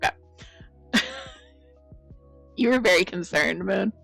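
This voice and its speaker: A woman chatting casually with viewers as the host of a crafting stream, her voice a bit croaky. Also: medium pitch, lively intonation, takes it slowly, faint music behind. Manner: chatty, rambling, greets viewers by name. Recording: loud blown-out mic.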